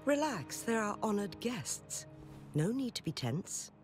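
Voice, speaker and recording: Retired noblewoman, video game, dignified, measured, courteous, calmly authoritative, music behind. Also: mid-range voice. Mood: calm, welcoming. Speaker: game character. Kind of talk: scripted game dialogue.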